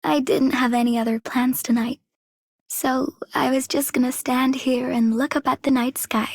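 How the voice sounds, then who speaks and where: A woman playing a shy, reserved, gentle young woman in a scripted game voice line. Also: sits high, fairly even intonation, moderate pace, creaky, no room sound. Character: shy, quiet young woman who avoids crowds. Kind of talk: scripted game character greeting.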